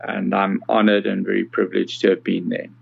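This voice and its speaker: A South African man in his sixties giving conversational, measured interview answers with some hesitation. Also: low-pitched, flat delivery, gravelly, breathy edge, narrow, tinny audio. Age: 60s. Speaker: podcast guest, accessibility consultant.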